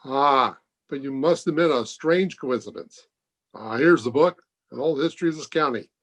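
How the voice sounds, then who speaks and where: A man playing an authoritative, thoughtful hospital doctor in an old-time radio mystery, with measured, declamatory delivery. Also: medium pitch, fairly bright tone. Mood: intrigued, grave. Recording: home video-call recording.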